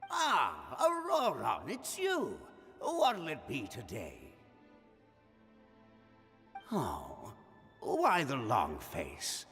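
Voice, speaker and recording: An actor voicing a teasing old man in a video game, with a gravelly, theatrical drawl. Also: wildly swooping pitch, extremely slow, long pauses, a bit bright, full character voice. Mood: teasing, musing. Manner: drawling, theatrical swings in pitch. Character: teasing old man, familiar elder of the tribe. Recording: long reverb over music.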